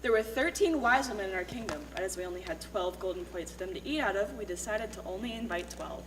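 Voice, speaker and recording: Proud stage fairy-tale queen, female reader, brisk delivery, mid pitch, heard from a distance. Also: expressive, a little hiss or hum, close to an everyday voice. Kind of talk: readers theatre stage reading. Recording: distant mic in reverberant hall.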